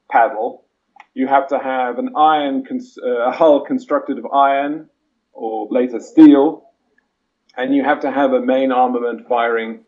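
A man who was born in Chile and grew up in Britain and South Africa, a historian answering interview questions in a measured, hesitant way with many fillers. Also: medium pitch, a little mellow, muffled recording. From Chile-born, raised in Britain and South Africa. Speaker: historian guest. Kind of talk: podcast interview.

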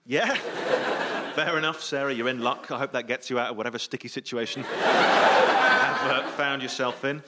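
A man hosting a live comedy radio show, brisk and jokey as he runs audience questions on stage. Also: mid-range voice. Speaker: host. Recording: live audience laughter and noise.

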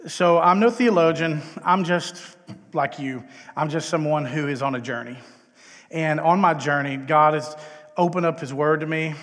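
A man's voice: a little high, a bit bright. A middle-aged man with a Texan accent giving an informal, self-deprecating chapel talk as a conversational guest speaker. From Texas, United States. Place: large indoor chapel, live talk. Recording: echoey hall with long reverb.

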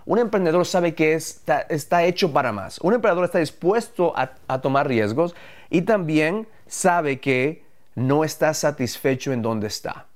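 A man's voice: on the high side, lively intonation, normal speed. A man from Ecuador, a guest mentor on a podcast, speaking emphatically and repeating his points. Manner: emphatic, repeats phrases, restarts. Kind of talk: motivational podcast talk.

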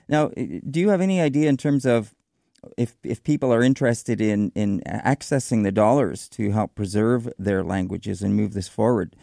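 A man hosting a radio talk show, interviewing a guest in a measured way with some fillers. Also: fairly low pitch, gravelly, warm tone, dry recording, clean studio sound. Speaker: radio host. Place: radio studio.

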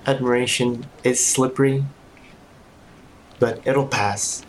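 Audio drama role: laid-back, supportive male colleague male actor, medium pitch, understated and lightly playful, slight background noise. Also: fairly even intonation, slow pace, lightly acted, rough recording. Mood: encouraging. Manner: casual, reassuring, understated. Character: easygoing, reassuring male colleague, gently playful. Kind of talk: audio drama acting, naturalistic dialogue.

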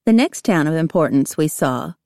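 A woman's voice: low voice, lively intonation, normal speed, a little mellow, no echo, studio quality. A woman reading as a courteous pioneer letter writer, formal, precise and plain-spoken. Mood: courteous, composed. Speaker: audiobook reader of letters. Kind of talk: scripted reading of historical letters.

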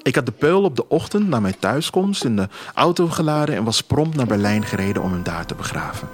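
A man narrating a scripted first-person story in a measured, literary way. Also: medium pitch, sing-song, slight background noise. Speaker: author reading own story as narrator. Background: music.

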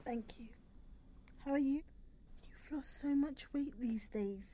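A woman's voice: sits high, takes it slowly, warm tone, a little hiss or hum. An actress playing a caring, devout friend who comforts another woman in an audio drama. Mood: soothing, sympathetic. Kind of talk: radio drama acting.

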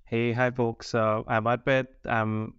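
A man from India introducing himself as a podcast guest, friendly and brisk. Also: medium pitch, little pitch movement, fairly bright tone, clean tone, no room sound, lo-fi sound. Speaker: guest, software engineer. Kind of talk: casual self-introduction.

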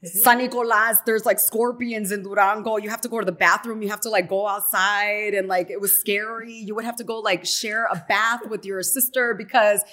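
Woman in her thirties, radio personality, slangy storyteller, middle pitch. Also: normal speed. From Chicago, USA. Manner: lively storytelling, slangy, many fillers. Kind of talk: casual interview talk.

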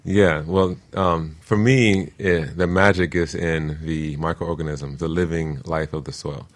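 A man speaking as an interview guest and teacher, explaining things informally in a measured but passionate way. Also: low-pitched, steady tone. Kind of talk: conversational explaining.

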